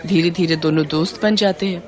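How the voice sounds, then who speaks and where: A woman's voice: on the low side, fairly even intonation, a little fast, some chatter behind. A woman narrating a scripted moral story as the storyteller.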